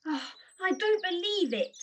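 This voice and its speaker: A woman plays a timid, flustered spinster in a radio pantomime. Her voice is high and very animated as she fusses. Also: a little slow, recorded from a distance, heavily acted.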